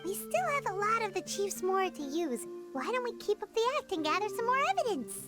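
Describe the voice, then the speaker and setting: Childlike fairy sidekick, extremely high squeaky voice, swooping intonation, excitable, suspicious, video game. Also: female voice, fairly quick, music in the background. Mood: scheming, suspicious. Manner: excitable, refers to self by name. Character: small childlike floating fairy companion, perky, suspicious, speaks in third person.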